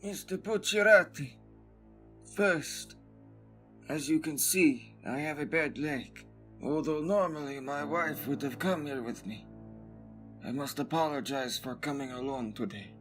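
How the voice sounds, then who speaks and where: A man voicing a humble, middle-aged shop owner in an anime fan dub, a grieving father who speaks politely, formally and haltingly. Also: fairly high pitch, fairly bright tone, faint music behind. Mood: polite, somber. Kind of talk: anime dub acting, dramatic monologue.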